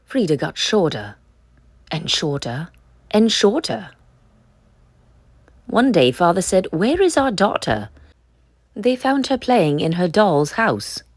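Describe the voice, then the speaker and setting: A woman reading a children's picture book aloud in an expressive, emphatic storytelling style. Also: on the low side, slow, long pauses, no echo.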